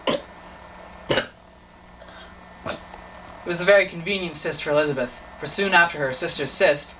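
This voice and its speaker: A man's voice: fairly high pitch, sharp tone. A teenage boy narrating a mock documentary and interviewing, earnest and mock-serious. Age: teens. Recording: distant mic, noisy room.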